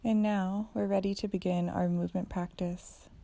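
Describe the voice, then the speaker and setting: Woman, yoga teacher, dark relaxed tone, gravelly fry, soothing measured guidance. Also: medium pitch. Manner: soothing, measured, deliberate phrasing. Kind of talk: guided meditation and yoga instruction.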